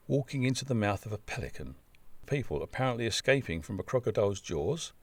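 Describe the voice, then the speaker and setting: A man reading a scripted humorous travel story in a wry, droll manner with deadpan asides. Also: on the low side, dry recording.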